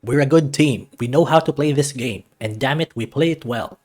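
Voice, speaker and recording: Man putting on a loud, blustery little soccer coach. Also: medium pitch, normal speed, soft background music, voice pushed up, natural voice. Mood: rousing, proud. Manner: loud, emphatic, barking pep talk. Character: small, gruff, blustery, big-hearted male soccer coach.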